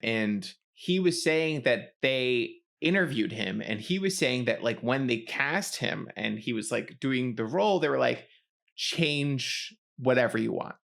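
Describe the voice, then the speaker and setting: A young man co-hosting a podcast in casual chat, laughing easily and explaining in a relaxed way. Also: medium pitch, unhurried, vocal fry. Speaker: podcast co-host.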